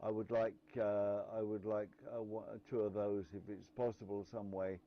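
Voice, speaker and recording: A man on an amateur radio net, chatting casually and hesitantly with lots of pauses. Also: fairly low pitch, level tone, dull audio, a little hiss or hum. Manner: hesitant, many fillers and restarts. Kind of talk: casual on-air chat.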